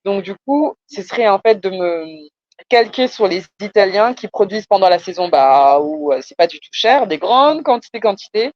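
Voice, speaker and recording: A woman's voice: mid-range voice, lively intonation, normal speed, no echo, muffled recording. A woman from France with a Parisian accent, explaining her business conversationally, often hesitating and repeating words for emphasis. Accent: Parisian French. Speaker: entrepreneur being coached.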